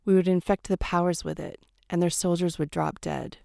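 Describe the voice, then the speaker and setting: A woman's voice: on the low side, steady tone, normal speed, gravelly, darkish tone, no room sound, studio quality. A woman playing the earnest ghost of a wartime doctor in a radio drama, speaking frankly and conversationally. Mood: grave, confessional. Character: earnest female ghost of a Great War doctor.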